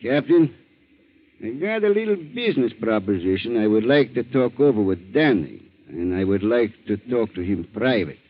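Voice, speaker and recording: A man's voice: fairly low pitch, sing-song. Falsely friendly fisherman blackmailer, broken English, swooping intonation, thin phone-like vintage radio sound. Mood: ingratiating. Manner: broken English, insinuating, drawn-out threats. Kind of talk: 1940s radio acting. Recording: tinny, narrow-band 1940s radio recording.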